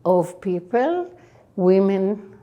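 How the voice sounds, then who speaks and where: Slow, mellow Israeli woman in her sixties. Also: mid-range voice, sing-song. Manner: slow, halting, many pauses and fillers. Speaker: guest, tech entrepreneur. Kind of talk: interview answers.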